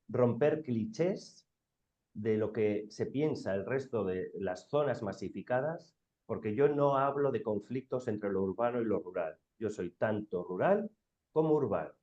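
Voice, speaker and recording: Low-voiced northern Spanish man, dull audio. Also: measured pace, mellow. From Bilbao, Spain. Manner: emphatic, colloquial, uses rhetorical questions. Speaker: round-table panelist. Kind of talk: informal panel talk.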